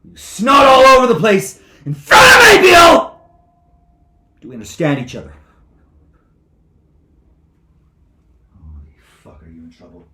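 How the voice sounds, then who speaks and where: A man's voice: sits low, very animated, very fast. Guy acting out a scary sweary zealot judge, taunting and bossy, voice jumps all over, echoey noisy room. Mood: frenzied. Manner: profane, taunting, domineering. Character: menacing foul-mouthed zealot acting as divine judge. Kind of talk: theatrical monologue, swinging from whispers to screams. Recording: echoey room with clear background noise.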